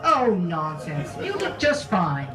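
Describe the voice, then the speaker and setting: A woman acting as a coy, genteel housewife from an old radio commercial, slow and very melodic. She sounds prim and smug in an echoey room with music behind. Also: on the low side, wildly swooping pitch, recorded from a distance, heavily acted. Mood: sweet, smug. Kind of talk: old-time radio advert parody.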